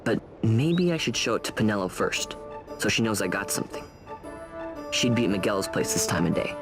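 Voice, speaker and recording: A man's voice: fairly low pitch, big pitch swings, brisk, music in the background. An actor playing a cocky young street boy in a video game, with a casual, boastful manner. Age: young adult. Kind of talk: video game drama acting.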